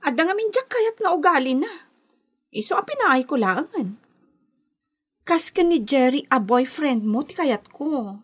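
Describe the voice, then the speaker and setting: A woman's voice: high voice, lively intonation, a little slow, fairly bright tone. A woman acting a scheming young woman in a radio drama, with a Filipino accent. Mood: coy, curious. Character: scheming young woman secretly seeing her best friend's boyfriend.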